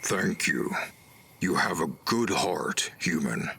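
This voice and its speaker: An actor playing a gentle, sorrowful old super mutant veteran in a video game, speaking in a halting, deliberate way. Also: male voice, lively intonation, slight background noise, full character voice. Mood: grateful. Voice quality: deep, gravelly. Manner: slow, halting, deliberate delivery. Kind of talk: video game character dialogue.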